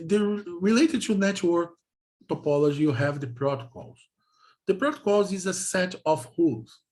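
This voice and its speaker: A man with a Brazilian accent, a native Portuguese speaker, gives a technical lecture in halting non-native English, repeating himself and often checking in with short questions. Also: on the high side.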